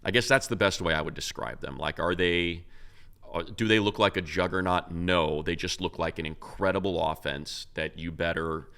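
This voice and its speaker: A man's voice: low voice, fairly even intonation, moderate pace, bright, forceful tone, clear, resonant tone. A man co-hosting a casual sports talk show, talking loosely with many restarts and fillers. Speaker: co-host, radio play-by-play announcer.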